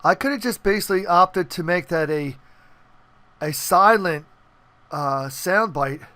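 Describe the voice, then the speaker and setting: A man's voice: high-pitched, a little slow, sharp tone, no echo. A man presenting an informal programming tutorial, explaining step by step and checking in with the listener. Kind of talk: informal screencast tutorial walkthrough.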